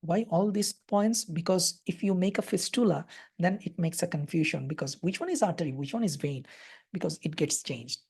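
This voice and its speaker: A woman with a Bangladeshi accent explains things through a computer mic on a live webinar. Her voice sits a little low and sounds mellow and soft, and she pauses often with fillers as she works through each answer. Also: slightly rough. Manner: hesitant, many fillers. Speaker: radiology lecturer.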